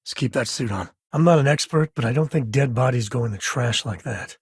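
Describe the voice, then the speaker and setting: A man's voice: medium pitch, a little fast, slightly rough, no echo, studio quality. An actor playing a wry, good-hearted space explorer and father in a video game, speaking in a casual, folksy way. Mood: easygoing, dry. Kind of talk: video game character dialogue.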